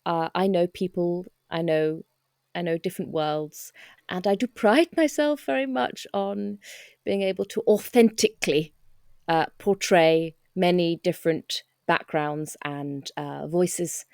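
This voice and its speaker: A woman playing a pompous, self-satisfied stage actress, speaking in a measured, self-amused way in a mockumentary interview. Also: fairly low pitch, darkish tone, no room sound, clean studio sound.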